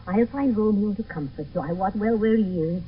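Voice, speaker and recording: An actress as a wailing old nurse, tinny radio. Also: female voice, mid-range voice, natural voice. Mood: subdued, comforting. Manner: wailing, repetitive, drawn-out lamenting. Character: distraught garrulous old nurse, faithful and lamenting. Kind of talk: 1970s radio Shakespeare acting. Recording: muffled, noisy old radio broadcast.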